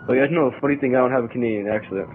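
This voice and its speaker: A young man chatting casually while playing an online game, explaining a glitch to his friends over headset voice chat. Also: mid-range voice. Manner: fast, bossy, explaining a glitch. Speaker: gamer. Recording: tinny phone-like voice chat with faint noise.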